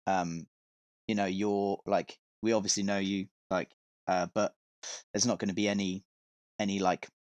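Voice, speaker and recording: A man with a fairly low-pitched voice talks as a guest on a podcast. He tells stories in a loose, rambling, informal style with some swearing. The sound is dry, with very little room on it. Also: little pitch movement, moderate pace, long pauses, no echo. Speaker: podcast guest, touring metal vocalist and tour manager. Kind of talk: casual podcast chat, telling stories from touring.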